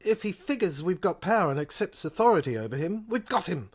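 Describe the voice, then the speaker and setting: Woman playing stern police chief, commanding, declamatory, forceful, audio play character. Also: on the low side, very animated, fairly quick, slightly rough.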